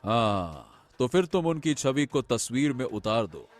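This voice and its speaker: Man acting a young prince, earnest, theatrical, animated swings in pitch, dramatic pauses, cartoon storytelling, music behind. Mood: wistful. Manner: theatrical, drawn-out pauses, emphatic repetition. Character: young prince, earnest, brooding, grandly expressive. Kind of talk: Hindi animated moral story dialogue.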